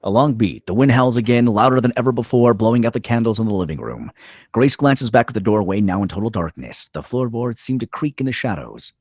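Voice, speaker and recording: A man reading stage directions as a narrator at a script table read, in a terse, measured dramatic style. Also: mid-range voice, lively intonation, a little mellow, no room sound, clean studio sound.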